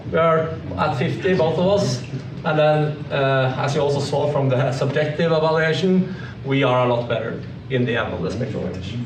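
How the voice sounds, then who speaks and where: A man giving an informal technical slide presentation, explaining in a halting way. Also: fairly high pitch. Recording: echoey room, distant mic, clearly noisy.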